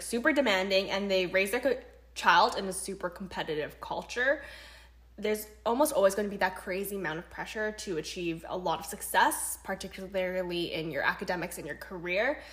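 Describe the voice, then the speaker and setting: A woman's voice: medium pitch, brisk, fairly bright tone. A young Canadian woman with a mid-range voice. She speaks as a casual podcast co-host, chatty and playful, with a self-deprecating streak. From Canada, born and raised in Vancouver. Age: young adult.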